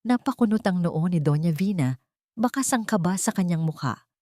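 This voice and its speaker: A woman's voice: on the low side, expressive, moderate pace, warm tone, dry recording, studio quality. A woman reading scripted dramatic narration as a storyteller.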